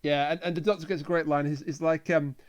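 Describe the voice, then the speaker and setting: Male co-host with a notably high voice, chatting casually through a review. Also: level tone, quick pace. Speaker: podcast co-host reviewing an audio drama.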